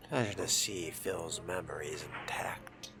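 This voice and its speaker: Guy playing brooding calculating vampire, real low n slow, talking to himself while snooping in a game. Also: music in the background. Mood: musing, suspicious. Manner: measured, musing to himself, formal wording. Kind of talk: video game roleplay narration. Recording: clear background game noise.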